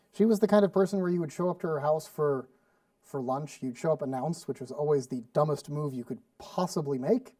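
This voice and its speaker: A man's voice: on the high side, mellow, no room sound, far from the mic. A man from Sweden with no accent, chatting casually and rambling with fillers while demonstrating a recipe as a cooking show host. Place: studio kitchen.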